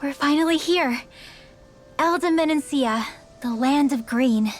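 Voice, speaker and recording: High-voiced, pert teenage girl. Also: slow. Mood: excited. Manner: pert, needling. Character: spirited, nosy, teasing teenage girl adventurer. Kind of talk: video game voice acting. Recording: studio voice over game music.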